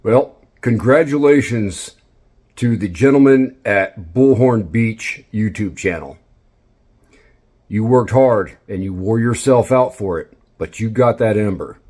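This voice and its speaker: Low-voiced man giving instructional commentary. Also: slow pace, darkish tone. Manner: encouraging, direct feedback. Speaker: instructor and video host.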